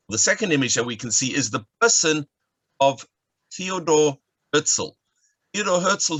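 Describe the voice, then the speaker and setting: A man from South Africa with a South African accent, an educator giving a lecture over a video call, explaining in a brisk way with fillers. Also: on the high side, bright, forceful tone, poor audio. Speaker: educator and guide. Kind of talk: online lecture. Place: home, at night.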